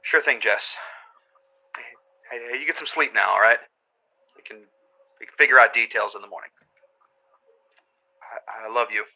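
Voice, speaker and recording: An actor in a radio drama playing a caring older brother who halts and stutters on the phone. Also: male voice, on the low side, creaky, sharp tone, dull audio, exaggerated voice. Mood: gentle, awkward. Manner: halting, stuttering.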